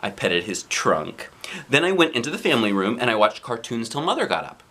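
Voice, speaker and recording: A man reading a children's book aloud as an expressive dad, with dramatic emphasis. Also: medium pitch, brisk. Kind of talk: animated storybook narration.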